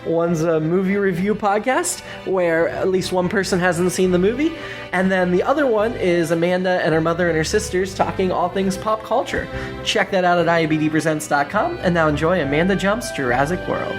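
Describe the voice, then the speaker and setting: A woman's voice: on the low side, brisk. A woman reading a scripted podcast introduction as the host. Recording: music behind the voice. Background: music.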